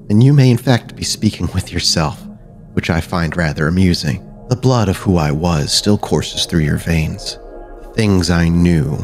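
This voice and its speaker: Dead vampire father played by a male game master: very low, quiet, courtly, manipulative, formal, music underneath. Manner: formal, measured, silkily persuasive.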